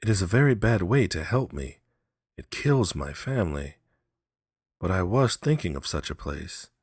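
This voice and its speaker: A man as earnest, deep-voiced, uneasy sailor. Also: sing-song, normal speed, slightly rough, no room sound, studio quality, heavily acted. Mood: troubled. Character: earnest, uneasy male sailor in a folk tale.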